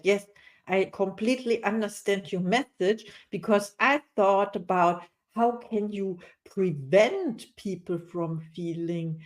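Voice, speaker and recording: A woman hosting an interview podcast who reads a short scripted introduction and responds to her guest with affirming remarks. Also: on the low side.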